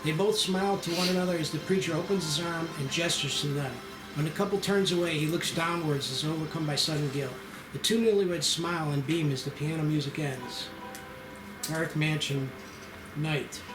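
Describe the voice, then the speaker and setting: Higher-pitched man narrating in an echoey room. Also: moderate pace. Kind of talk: scripted screenplay narration at table read. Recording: echoey room with background music.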